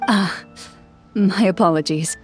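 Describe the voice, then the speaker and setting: An actress in an English game dub playing a composed, reserved young woman duelist with a measured, formal manner. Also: mid-range voice, music in the background, natural voice. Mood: calm, fond. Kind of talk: English-dubbed anime game cutscene acting.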